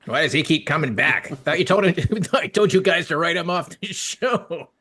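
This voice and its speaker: A man's voice: a little high, animated, moderate pace, sharp tone, slight background noise. A man co-hosting a podcast, tossing joking interjections into casual banter. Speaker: podcast co-host.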